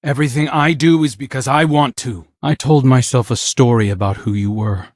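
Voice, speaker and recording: A man playing an earnest, troubled and defiant young hunter with a husky voice in a video game. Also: medium pitch, normal speed, dry recording, clean studio sound, natural voice. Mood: determined. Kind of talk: video game character dialogue.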